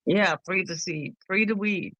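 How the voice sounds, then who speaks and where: A woman's voice: mid-range voice, unhurried, a bit bright, no echo, poor audio. A woman from Canada, a guest on a live stream, chatting bluntly and playfully and swearing freely. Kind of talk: casual chat.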